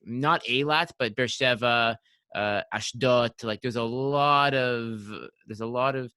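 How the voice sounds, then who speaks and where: A man of about thirty hosts a podcast, rambling through his questions with constant likes and restarts. His voice is bright and forceful, and the sound is close and dry, with no room echo. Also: medium pitch, takes it slowly, clean tone. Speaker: podcast host. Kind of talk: casual interview chat.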